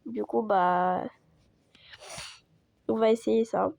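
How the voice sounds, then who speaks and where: A woman chatting casually over gameplay as a video creator, hoarse from a cold and rambling with lots of fillers. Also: medium pitch, steady tone, slow pace, a little mellow, no room sound, highs cut off. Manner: rambling, full of fillers and restarts.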